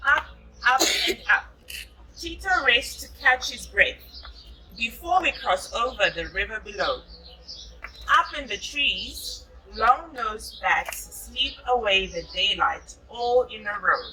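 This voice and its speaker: Slow-paced, bright-voiced woman reading to children. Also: on the high side, animated, slight background noise. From South Africa. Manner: patient, encouraging, echoes the children's remarks. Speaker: storybook reader. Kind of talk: reading a picture book aloud to children, with chatty asides.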